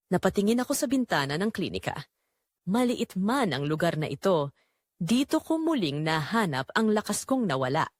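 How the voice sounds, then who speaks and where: A woman narrating a first-person dramatic story in a reflective, measured way. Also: on the low side, no echo, clean studio sound.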